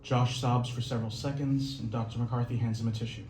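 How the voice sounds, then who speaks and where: A man's voice: mid-range voice, fairly even intonation, a little fast, a little mellow, soft background music. A man reading out stage directions as scripted narration. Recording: echoey room, faint noise.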